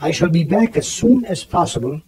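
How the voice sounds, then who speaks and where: An actor playing a grave, authoritative physician-professor in a radio drama, giving decisive, clipped instructions. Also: male voice, fairly high pitch, animated, normal speed, a crowd in the background, lightly acted. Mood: measured, musing.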